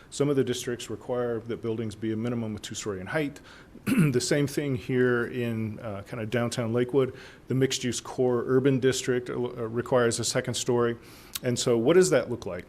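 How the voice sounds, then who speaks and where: A hoarse man with a cold, presenting zoning changes at a city council meeting in a halting way, with many fillers and restarts. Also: mid-range voice, a little mellow. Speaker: city planning staff presenter. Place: council chamber.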